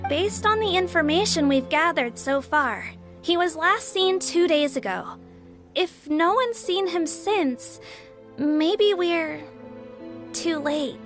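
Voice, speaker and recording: A woman's voice: very high voice, lively intonation, takes it slowly, a bit bright, soft background music, exaggerated voice. An actress playing a young female law-enforcement aide, businesslike and matter-of-fact, in video game dialogue.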